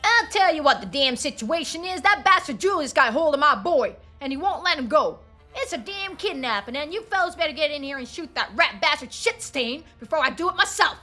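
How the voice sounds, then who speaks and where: An angry backwoods dad acted out by a woman in a story game, pitched up from the reader's usual voice and forceful. He is belligerent and drawling, over faint music. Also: animated, brisk. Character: furious, foul-mouthed rural father demanding his son back.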